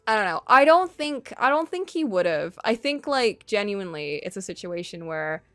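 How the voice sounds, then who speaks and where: A woman's voice: fairly low pitch, a bit bright, no echo. A woman streaming casual, opinionated commentary on a story game, thinking aloud in a conversational way.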